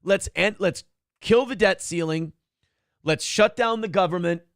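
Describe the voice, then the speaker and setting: A brash, profane male talk show host with a gravelly, slightly high voice. He riffs loosely on politics and reads out viewer messages. The sound is dry and close. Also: a little slow, dry recording.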